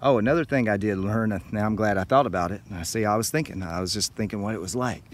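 Man, middle-aged, rather low voice, enthusiastic travel host, talking to camera, outdoors with faint ambient noise. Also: quick pace. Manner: enthusiastic, addresses viewers directly. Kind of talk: on-location narration to camera about music history. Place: outdoors at a small-town baseball field.

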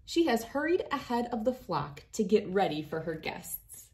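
Woman, thirties, children's read-aloud host, expressive storybook delivery, mid-range voice. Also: medium pitch, normal speed. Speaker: host reading a picture book aloud.

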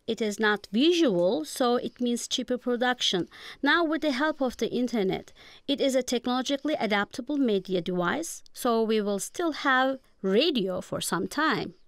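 A woman's voice: a little high, clear, resonant tone, dry recording, studio quality. A woman with a Turkish accent, a guest professor giving explanatory answers in a radio interview.

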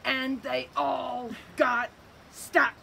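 A middle-aged woman reading a picture book aloud as a storyteller, with an expressive, amused delivery. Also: sits high, extremely slow, gravelly. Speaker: library storyteller. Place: outdoors on a covered wooden bench structure. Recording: outdoor recording with faint ambient noise.

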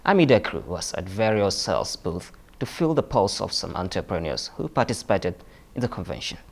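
A man's voice: low-pitched, sing-song, a little fast, creaky. A man reporter reading scripted news narration.

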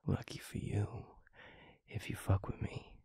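A man playing a teasing, affectionate werewolf boyfriend in an intimate audio roleplay, with a breathy, husky voice and a murmuring delivery. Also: mellow, no echo, whispered, close to an everyday voice. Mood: playful teasing. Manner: slow, murmuring, chuckling, playfully teasing. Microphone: close studio mic, dry.

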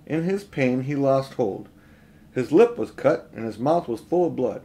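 A middle-aged man reading a children's storybook aloud in expressive narration. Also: medium pitch, unhurried. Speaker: audiobook reader. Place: small room at home, indoors.

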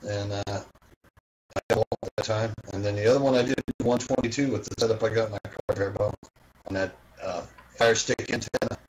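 A man's voice: fairly low pitch, steady tone, a little fast, background noise. A man chatting informally on an online group call as a radio hobbyist, rambling and repeating himself. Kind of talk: casual chat.

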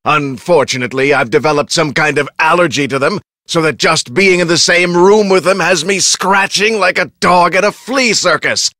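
An actor playing an arrogant, irritable research scientist in a video game, with pompous, formal and brusque speech. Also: male voice, on the high side, moderate pace, pushed and bright, dry recording, clean studio sound. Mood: curt, businesslike. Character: irritable, arrogant, self-important male research scientist with explosive temper.

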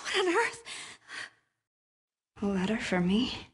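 Young game heroine, breathy, slow paced, vulnerable but defiant, really emotional. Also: female voice, medium pitch, big pitch swings, heavily acted. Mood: puzzled. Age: young adult. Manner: emotional, urgent. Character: frightened, vulnerable, defiant young woman searching for answers. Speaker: video game voice actor. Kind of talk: video game character acting.

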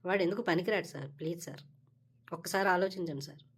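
A woman's voice: lowish voice, quick pace, slightly rough. A woman voicing a brash, entitled and scheming young male office worker in a comedy cartoon, talking casually and cockily. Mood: flattering, wheedling. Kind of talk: Telugu comedy cartoon dialogue.

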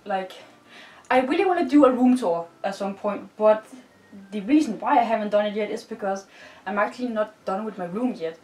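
A woman's voice: medium pitch, expressive, a little fast, darkish tone. Giggly young Danish-accented woman, roomy bedroom. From Denmark. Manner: bubbly, giggly, rambling with many "like"s. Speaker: vlogger. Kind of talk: casual chat while decorating. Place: bedroom, indoors. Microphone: far camera. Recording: roomy, distant, with music faint behind.

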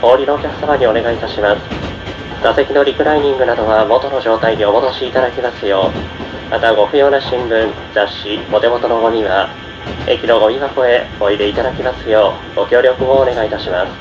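A man's voice: medium pitch, steady tone, a little fast. A man working as a train conductor, making polite, formulaic announcements to passengers. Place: train PA heard inside a moving carriage. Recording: dull onboard speakers with loud train noise.

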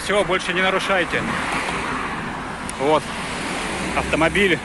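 A man's voice: sits high, unhurried. A man in his thirties, a civic activist, pressing a police officer in a formal, insistent way outdoors. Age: 30s. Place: roadside in town, outdoors. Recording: outdoor traffic noise.

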